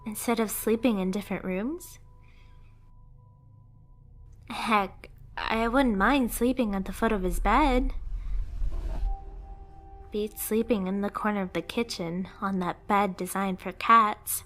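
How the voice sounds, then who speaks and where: A woman's voice: mid-range voice, fairly bright tone. A woman playing a lonely, jealous, unhinged pet catgirl in an emotional anime ASMR roleplay, dramatic and sometimes whispery. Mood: lonely, pouting.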